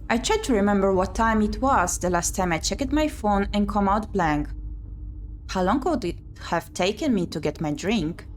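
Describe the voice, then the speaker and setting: A woman's voice: mid-range voice, expressive, moderate pace. A woman streamer reading a visual novel aloud and chatting casually about it. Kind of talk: casual playthrough commentary and narration. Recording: clear background noise.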